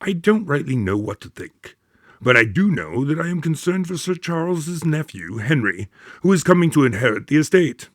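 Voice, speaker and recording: An actor playing a troubled, earnest country doctor in a radio drama, explaining things in a formal storytelling manner. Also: male voice, a little high, sing-song, moderate pace, no room sound, studio quality. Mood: troubled, hesitant. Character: earnest, troubled male country doctor recounting strange death. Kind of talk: modern radio drama acting.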